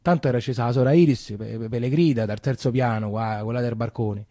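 An actor playing a Roman man recalling his wartime boyhood in an emotional, colloquial, halting theatrical monologue with a Roman accent. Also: mid-range voice, dark tone, no echo, clean studio sound, lightly acted. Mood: somber, reflective. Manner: colloquial, halting, repeating himself. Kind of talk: theatrical first-person monologue in dialect.

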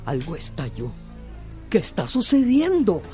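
Solemn myth creature, slow dramatic swoops, radio play with music. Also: female voice, on the low side, wildly swooping pitch, dark tone. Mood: alarmed.

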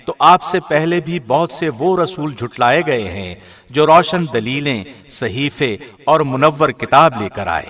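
A man giving a formal, scripted reading of a scripture translation in a measured, solemn manner. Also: a little high, lively intonation, a bit bright. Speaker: translation reader, radio host.

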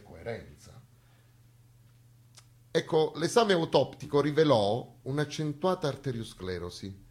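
Man, husky, declamatory, mock-solemn, theatrical storytelling. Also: mid-range voice. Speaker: stage actor and monologist. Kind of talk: theatrical satirical monologue.

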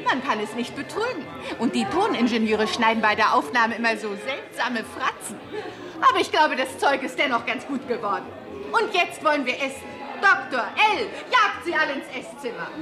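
An actress playing a vivacious, theatrical Hollywood star hosting a party in a 1960s German radio drama. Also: female voice, high voice, sing-song, moderate pace. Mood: gushing, lively. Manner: theatrical, effusive. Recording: music and party chatter behind. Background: music and a chattering crowd.

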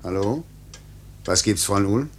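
An actor in a 1950s radio play voicing an iron-willed airline director, clipped, commanding and unyielding. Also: male voice, on the low side, lively intonation, normal speed, background noise, close to an everyday voice. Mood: cold, firm.

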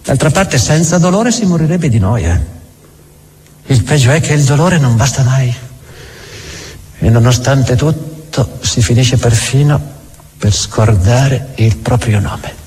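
Sardonic devil, wildly swooping delivery. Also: male voice, medium pitch, lively intonation, darkish tone, slightly rough. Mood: brooding, weary. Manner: brooding, sardonic, halting then rushing. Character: devil, vulgar world-weary mocking tempter musing on doubt. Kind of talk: theatrical monologue in a radio drama.

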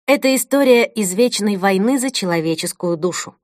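A woman narrating an audiobook in a measured, deliberate, unhurried manner. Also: mid-range voice, lively intonation, no room sound, clean studio sound. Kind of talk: audiobook reading.

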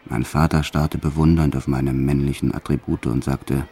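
An actor playing a notorious male French criminal who recounts his wartime childhood in a brooding, deliberate way, as in an audio drama. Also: rumbling low, level tone, warm tone. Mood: brooding reminiscence. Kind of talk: dramatized first-person audio drama narration.

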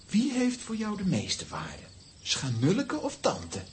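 A caring young boy for a children's audio drama, with noticeable noise in the recording. He reasons earnestly, his pitch moving up and down in a very animated way. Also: slow pace. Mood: challenging. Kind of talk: children's audio play acting.

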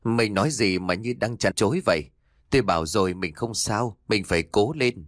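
A man voicing a desperate aging husband who pleads, shouts and sobs over his dying wife. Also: on the low side, moderate pace, no echo, studio quality, close to an everyday voice. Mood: tender coaxing. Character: desperate aging husband, grief-stricken and volatile.

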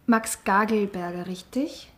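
An actress playing a gentle, probing woman with a conversational delivery in a German radio drama. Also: mid-range voice, a little slow, natural voice.

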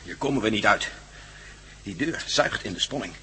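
An actor playing a practical, defiant leader of captured adventurers in a radio drama, questioning and commanding. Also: male voice, lowish voice, lively intonation, normal speed, sharp tone, faint music behind, low-quality recording. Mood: grimly practical.

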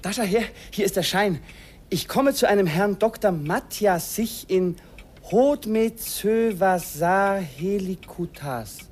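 An actor playing a naive, eager nineteen-year-old student who easily gets flustered, in a radio play. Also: male voice, sits high, lively intonation, slow pace, a little mellow, heavily acted. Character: naive, nervous young male student.

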